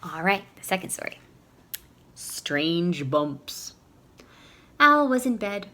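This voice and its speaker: A woman's voice: fairly low pitch, big pitch swings, slow pace, bright, forceful tone, slight background noise. A woman reading a storybook aloud to young children in a teacherly way, putting questions to her listeners.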